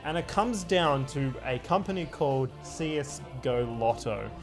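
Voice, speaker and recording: A man's voice: medium pitch, lively intonation, measured pace, music in the background. A man co-hosting a video game show, explaining things in a casual, conversational style.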